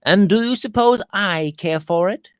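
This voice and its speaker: A man voicing a lively, carefree young gentleman barrister in an emphatic, insistent manner from a storybook. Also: high-pitched, measured pace, smooth, no echo, clean studio sound, pitched above the performer's own voice. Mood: cheerful, persuasive. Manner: exclamatory, breezy, emphatic.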